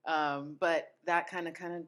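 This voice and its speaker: A woman who grew up in Italy and Tampa, a guest on a podcast, telling her story softly and laughing easily. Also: fairly low pitch, fairly even intonation, measured pace. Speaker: podcast guest, food tour business owner. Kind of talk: casual interview storytelling.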